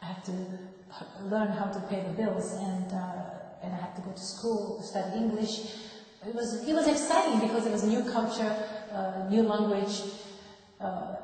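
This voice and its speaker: A woman in her thirties with a Japanese accent tells her own story in careful, halting English, often stopping to find the right word. Her mid-pitched voice rings through a big, echoing room with a long reverb tail. The mic sits well away from her. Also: darkish tone, distant mic. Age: 30s. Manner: halting, searching for words in a second language. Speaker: interviewee telling her life story. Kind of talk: personal testimony.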